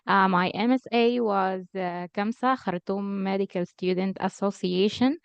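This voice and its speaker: A woman's voice: mid-range voice, measured pace, creaky, clear, resonant tone, no echo. A young woman introducing herself as a guest speaker on an online call. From Sudan. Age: young adult. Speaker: guest speaker, intern doctor. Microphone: online call microphone.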